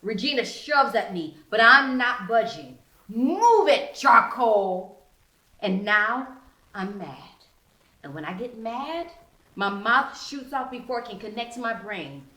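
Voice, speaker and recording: Female author-narrator, teen first-person persona, theatrical delivery, bright forceful tone, roomy echo. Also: a little high, animated, a little slow. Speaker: author reading own novel. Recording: roomy, echoing sound.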